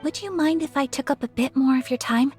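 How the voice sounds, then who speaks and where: A woman voicing a refined, gentle young noblewoman in a video game, speaking with formal courtesy and shy affection. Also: high-pitched, fairly quick, soft background music, lightly acted. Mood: shy, earnest. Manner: formal, polite, softly hesitant phrasing. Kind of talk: scripted video game character voice acting.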